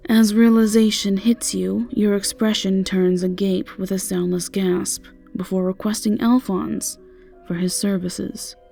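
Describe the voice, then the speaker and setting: Slow, creaky-voiced woman narrator. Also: medium pitch, fairly even intonation, dark tone, soft background music. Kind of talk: scripted second-person fantasy story narration.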